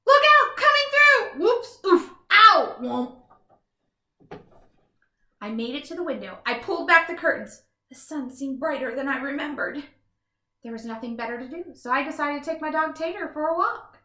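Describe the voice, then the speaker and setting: A woman reading a children's picture book aloud in an expressive, animated way with dramatic pauses. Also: high voice, echoey room. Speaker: storybook reader.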